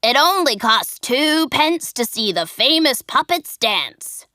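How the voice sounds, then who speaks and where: Woman doing a loud coaxing showman. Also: sing-song, slow, no echo, clean studio sound, exaggerated voice. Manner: coaxing, loud salesman pitch. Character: pushy male showman selling tickets. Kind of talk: storybook character reading.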